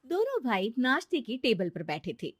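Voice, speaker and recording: A woman's voice: on the high side, wildly swooping pitch, moderate pace. A woman narrator reading a scripted cartoon moral story.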